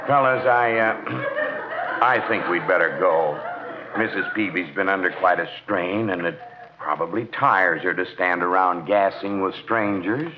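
An actor playing a hesitant, tactful townsman in a 1940s radio comedy, clearing his throat and choosing words carefully. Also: low-pitched, a little slow. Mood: awkward, tactful. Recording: muffled, noisy 1940s radio recording.

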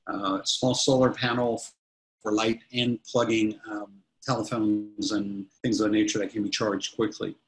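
Rambling middle-aged man, lowish voice. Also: flat delivery, a little slow, low-quality recording. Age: 50s. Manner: rambling, many restarts and fillers. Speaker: company CEO guest. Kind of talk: casual interview answers.

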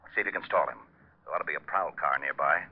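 An actor playing a brusque, dogged American police lieutenant in a 1950s radio drama, all business. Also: male voice, extremely low pitch, fast talker, background noise. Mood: urgent. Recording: dull, lo-fi old radio recording.

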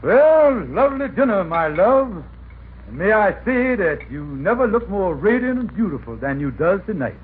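An actor playing a pompous, scheming husband with florid, folksy speech in old radio comedy style. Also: male voice, sits high, sing-song, normal speed, husky, full character voice. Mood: syrupy romantic. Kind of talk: 1940s-50s radio comedy acting. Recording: tinny, noisy old-time radio recording.

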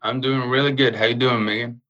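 A young man from Jordan, speaking earnestly in a casual interview and pausing often with fillers. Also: medium pitch, level tone, pushed and bright, highs cut off, faint music behind. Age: young adult. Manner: earnest, halting with frequent uh fillers. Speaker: guest.

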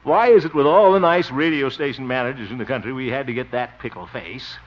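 An actor playing a jovial, blustery middle-aged small-town radio host in a theatrical 1950s radio comedy style. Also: male voice, medium pitch, a little fast, close to an everyday voice. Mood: wistful. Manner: theatrical, chuckling, exclaiming. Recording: muffled old 1950 radio recording.

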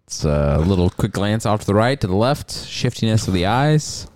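A man's voice: fairly low pitch, very animated, moderate pace, a little mellow. A young man co-hosting a podcast, chatting casually in a rambling, self-deprecating, joking way. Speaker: podcast co-host. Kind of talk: casual comedic chat.

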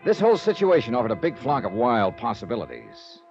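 An actor playing a wry insurance investigator narrating in the first person in a 1950s radio drama. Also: male voice, mid-range voice, wildly swooping pitch, fairly quick, vocal fry. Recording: dull lo-fi 1950s radio with music.

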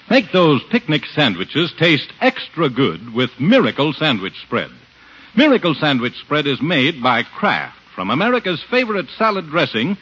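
A man delivers a scripted 1950s radio commercial. His voice is fairly high, forceful and rough-edged, with animated pitch. The recording sounds muffled. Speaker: commercial announcer.

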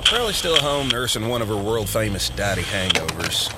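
Griping, wisecracking deputy, lively pitch. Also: male voice, moderate pace. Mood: griping, teasing. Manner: folksy, wisecracking, complaining. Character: talkative, griping small-town deputy, wisecracking and jittery. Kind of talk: audio drama acting. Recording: clearly noisy outdoor scene ambience.

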